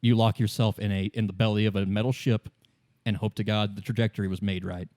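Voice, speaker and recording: A composed, world-weary businesswoman voiced by a man speaks in a lowish voice with measured, halting phrases. She is cryptic, in the style of a tabletop game character, recorded dry and close. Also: flat delivery, darkish tone, dry recording, natural voice. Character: cryptic, world-weary woman of a shipping company.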